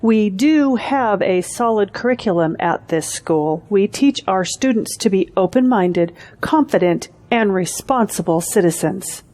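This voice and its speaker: A woman playing a seasoned school principal in an audio drama, formal, measured and authoritative. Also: medium pitch, animated, darkish tone, lightly acted. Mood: businesslike.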